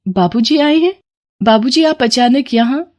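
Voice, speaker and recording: Woman playing gentle dutiful young daughter-in-law. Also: on the high side, lively intonation, unhurried, lo-fi sound. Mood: meek, polite. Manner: meek, polite, soothing.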